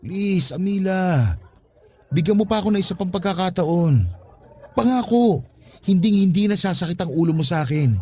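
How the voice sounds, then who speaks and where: Insistent, higher-pitched young father. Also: animated, normal speed, a little mellow, breathy edge. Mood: earnest pleading. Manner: earnest, insistent, coaxing. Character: pleading, smitten young father chasing his ex. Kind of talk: Tagalog radio drama acting.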